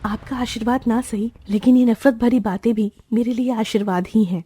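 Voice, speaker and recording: Spirited rich young bride, emotional, soft husky tone, voiced by a woman; mellow, dry studio read. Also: fairly high pitch, fairly even intonation, moderate pace, dry recording. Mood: defiant. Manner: pleading, emotional.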